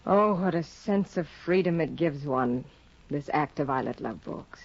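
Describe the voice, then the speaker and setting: A proud aristocratic young wife speaks in a cool, husky, mid-range voice. She is clipped and imperious. The recording has the dull, lo-fi sound of a 1950 radio play. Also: low-pitched, lively intonation, unhurried, heavily acted. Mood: exalted. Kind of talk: 1950s radio drama acting.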